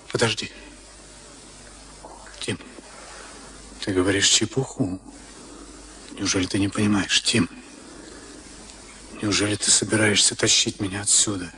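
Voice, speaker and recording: An actor playing a haunted former camp prisoner turned boxer, slow and brooding in a Soviet radio play. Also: male voice, on the low side. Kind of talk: Soviet radio play acting, dramatic monologue and dialogue. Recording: archival radio play, loud music underneath. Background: music.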